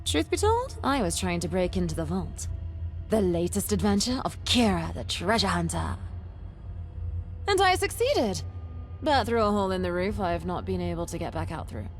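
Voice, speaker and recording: An eccentric young female treasure hunter for a video game. Her delivery is highly animated and chatty, full of boastful, dramatic emphasis. Quiet music plays behind her. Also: normal speed. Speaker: game companion character. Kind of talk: theatrical video game voice acting.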